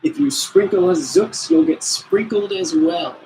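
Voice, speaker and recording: Man as a belligerent, gravelly old rival. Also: high voice, sing-song, unhurried, slight background noise, voice pushed up, exaggerated voice, rough recording. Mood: taunting. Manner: yelled threats in rhyme. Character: belligerent old enemy soldier, taunting rival.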